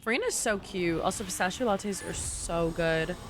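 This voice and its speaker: A woman's voice: fairly low pitch, moderate pace, poor audio. A young woman chatting casually as she streams a game, rambling through conversational asides. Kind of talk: casual chatty livestream gaming commentary. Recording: clear background noise.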